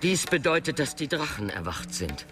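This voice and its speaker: An actor playing a grave, authoritative ruler in a fantasy radio drama, speaking in a measured, portentous and commanding manner. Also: male voice, fairly high pitch, sing-song. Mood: solemn. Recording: loud music under the voice.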